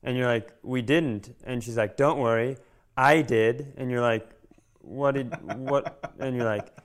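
A man's voice: medium pitch, fairly even intonation, measured pace. A man co-hosting a comedy podcast, riffing casually with some swearing and little acted-out exchanges.

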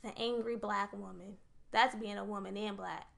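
A woman's voice: medium pitch, steady tone, moderate pace, gravelly, fairly bright tone. A young woman co-hosting a podcast, making her case earnestly and point by point in casual chat. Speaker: podcast co-host.

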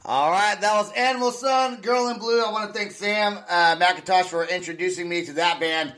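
A man's voice: high voice, moderate pace, a bit bright, soft background music. A man from California hosting a podcast, chatting casually and joking, with frequent laughs and repeated words. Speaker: podcast host. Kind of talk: casual chat.

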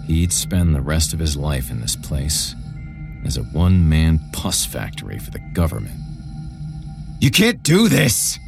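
A man narrating a scripted horror story in a dramatic, deliberate storytelling manner. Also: rumbling low, expressive, vocal fry, music in the background.

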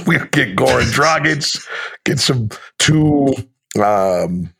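Man, medium pitch, sports broadcaster as podcast guest, casual storytelling, clipped phrases, wry, slangy. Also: expressive, extremely slow, vocal fry, a bit bright, slightly rough. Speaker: basketball radio play-by-play announcer, guest.

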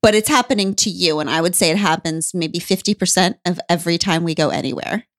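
A woman's voice: fairly low pitch, quick pace, a bit bright, no room sound, clean studio sound. A woman hosting a podcast conversation, leading her guest with wry, lightly dramatic asides. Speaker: podcast host. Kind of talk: conversational interview.

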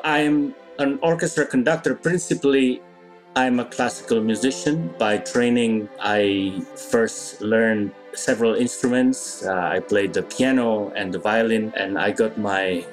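A middle-aged man from Indonesia, an orchestra conductor, answering interview questions thoughtfully and pausing often to find his words. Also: on the low side, rough recording. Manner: thoughtful, searching for words, many pauses.

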